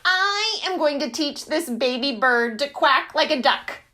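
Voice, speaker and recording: Storybook duck, male, boastful and bossy, high and swooping, played by a woman. Emphatic delivery. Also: expressive, unhurried, pushed and bright, full character voice. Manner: emphatic, exclamatory.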